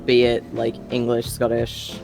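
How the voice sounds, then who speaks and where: A man with an Australian accent speaks slowly and at an easy pace, poking fun at himself and laughing easily. He chats casually as a podcast guest over faint background music. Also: mid-range voice, monotone, vocal fry, rough recording. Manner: unhurried, self-deprecating, laughs easily. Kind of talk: casual chat.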